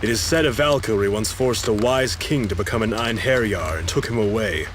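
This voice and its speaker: A grave, learned man in a fantasy game, voiced by an actor, low and solemn. He speaks in a measured way over clearly audible rainy ambience. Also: a little hiss or hum, natural voice. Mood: solemn, bitter. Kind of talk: video game voice acting.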